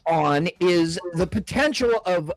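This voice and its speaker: High, swinging man taunting a rival. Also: animated, slow, dry recording. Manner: taunting, profane, repeats his questions. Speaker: panelist. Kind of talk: heated online debate.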